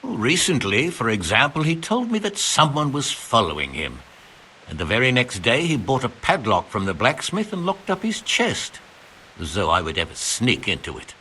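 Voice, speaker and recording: Game role: older male engraver, learned, sardonic, ornate phrasing, crisp forward tone, hugely varied melody. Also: mid-range voice, wildly swooping pitch, moderate pace, pushed and bright, slight background noise, low-quality recording. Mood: wry. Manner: verbose, dryly sarcastic, ornate phrasing. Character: wry, learned older master engraver, exasperated with apprentice. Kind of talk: video game dialogue acting.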